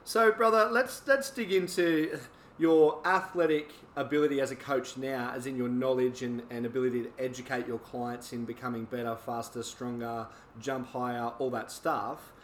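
A man's voice: medium pitch, steady tone, normal speed. A man hosting a casual interview, upbeat and matey, calling his guest brother and swearing casually. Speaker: interview host. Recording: echoey room with faint noise.

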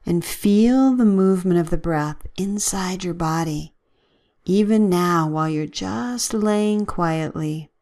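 A woman leading a guided relaxation with gentle, soothing, measured instructions and long pauses. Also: fairly low pitch.

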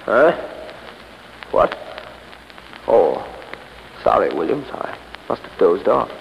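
Creaky-voiced, stubborn skeptic. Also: male voice, deep voice, sing-song, slight background noise, exaggerated voice. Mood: scoffing confidence. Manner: brisk, commanding, scoffing. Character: stubborn, dismissive skeptic determined to face a ghost. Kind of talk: 1930s radio acting. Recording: muffled 1930s radio recording.

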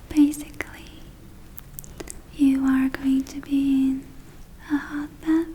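A woman playing a gentle, caring witch in a whispered ASMR roleplay, speaking softly and soothingly with hesitant phrasing. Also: sits high, slight background noise, rough recording. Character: gentle caring witch running a magic spa. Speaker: ASMR performer.

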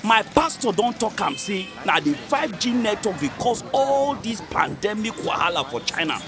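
Radio drama: scornful Nigerian man, very high, animated, argumentative, music behind. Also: moderate pace. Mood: indignant. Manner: argumentative, theatrical, mocking. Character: hot-headed, scornful, suspicious townsman.

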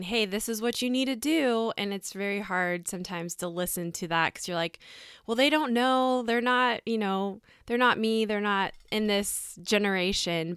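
A woman's voice: mid-range voice, fairly bright tone, smooth, dry recording, clean studio sound. A woman hosting a podcast who interviews her guest with enthusiasm and encouragement and laughs often. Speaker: podcast host. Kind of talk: warm conversational interview.

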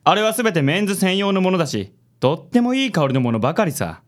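Suave, vain gentleman with theatrical swoops, acted by a man. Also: sits high, sing-song, fast talker. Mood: suave, pleased. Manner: smooth, self-assured, affected. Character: suave, vain handsome company president, sprinkles English. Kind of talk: Japanese comic radio drama acting.

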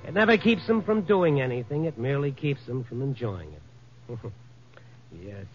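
An actor playing a steady, wry, hard-boiled newspaperman who narrates a 1950s radio noir drama. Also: mid-range voice, moderate pace, a little hiss or hum, close to an everyday voice. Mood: wry, reflective. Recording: dull vintage radio recording, music behind.

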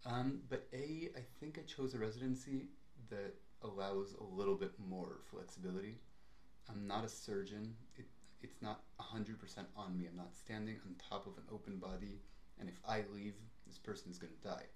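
A young man talks in a measured, reflective way, pausing to weigh his words. His voice sits fairly low, and the recording carries a noticeable room echo. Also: steady tone, darkish tone, slight background noise. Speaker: podcast co-host. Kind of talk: casual chat. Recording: roomy echo.

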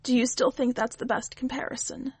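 A woman voicing a weary, blunt female witch who speaks in a dry, measured, skeptical way. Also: high voice, flat delivery, a little mellow, breathy edge, dry recording, pitched above the performer's own voice, heavily acted.

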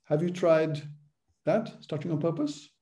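Gravelly, dark-voiced male podcast host. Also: a little high, moderate pace. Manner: earnest, stutters on purpose at times. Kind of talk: conversational interview.

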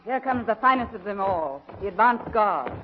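A woman's voice: mid-range voice, animated, normal speed, background noise, close to an everyday voice. A woman voicing a regal queen of magic in a 1930s radio serial, with a formal, theatrical delivery. The old recording sounds dull and lo-fi. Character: regal female magic queen, proud and commanding.

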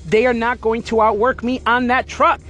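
A man hosting a podcast, talking casually and emphatically in an energetic, motivational way. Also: very high-pitched, moderate pace, faint music behind. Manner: emphatic, energetic, pep-talk delivery. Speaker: podcast host.

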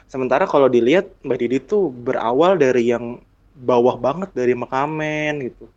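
A man with a Jakarta accent talks in a loose, slangy podcast style at an ordinary pitch. His delivery is bantering and conversational. The audio is a little dull and lo-fi. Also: moderate pace, darkish tone. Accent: Jakarta Indonesian. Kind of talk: casual podcast chat.

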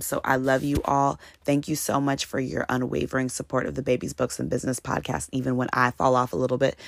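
A woman's voice: extremely low pitch, vocal fry, no echo, studio quality. A woman in her late twenties hosting a solo motivational podcast, talking straight to her listeners as family in an upbeat, preachy way. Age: 20s. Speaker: podcast host and business consultant.

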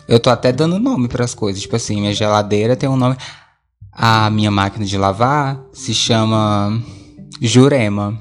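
A man's voice: fairly low pitch, moderate pace. Rambling Brazilian man over music. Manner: rambling, conversational, self-correcting. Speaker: podcast host. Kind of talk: casual solo chat, audio diary. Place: at home, alone.

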